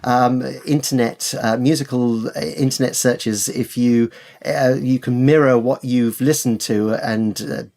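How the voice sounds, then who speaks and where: A man with a medium-pitched voice speaks as an expert guest in a conversational interview. He explains with enthusiasm, often hesitating and then repeating words for emphasis. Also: fairly even intonation, unhurried, darkish tone. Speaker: literary expert guest, author and editor.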